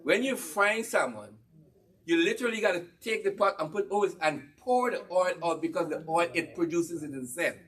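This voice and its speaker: A man from Guyana with a Guyanese accent, giving a lively cookware demonstration talk to an audience, quizzing them and repeating his points. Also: high voice, distant mic. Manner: energetic, asks the audience questions and repeats key phrases. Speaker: cookware presenter at a church gathering.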